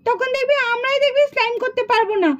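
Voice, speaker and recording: Very high-pitched bossy little schoolgirl doll. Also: fast talker, a bit bright. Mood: bossy, bickering. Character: excitable schoolgirl doll in slime contest. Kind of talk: children's puppet cartoon dialogue. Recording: dull lo-fi sound.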